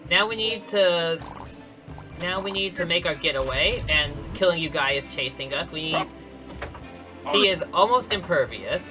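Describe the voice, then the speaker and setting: Man, speedrun commentary, slightly higher voice, casual, stops and restarts sentences, clear game music behind. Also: expressive, moderate pace. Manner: explanatory, frequent restarts and self-corrections. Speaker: speedrunner commentating his own run.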